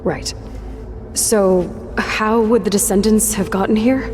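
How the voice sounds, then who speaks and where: A woman's voice: medium pitch, steady tone, slow pace, close to an everyday voice. A young woman playing a determined, questioning sci-fi adventurer in a video game, with an earnest, conversational delivery. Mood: uneasy. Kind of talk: scripted video game cutscene dialogue. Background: background music.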